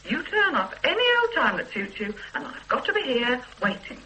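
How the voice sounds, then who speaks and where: Brief: resentful, determined wife, high lively bright voice, radio play. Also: female voice, normal speed, slight background noise, exaggerated voice, rough recording. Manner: firm and resentful. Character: fed-up, determined young wife. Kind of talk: radio drama acting.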